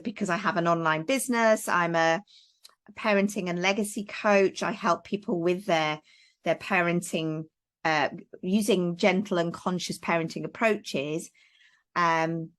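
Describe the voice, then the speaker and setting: Middle-aged Welsh-raised woman guest, lower voice, rambling and friendly on a call. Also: fairly bright tone. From Wales. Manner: chatty, many fillers and restarts. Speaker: guest, parenting coach. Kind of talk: casual interview chat.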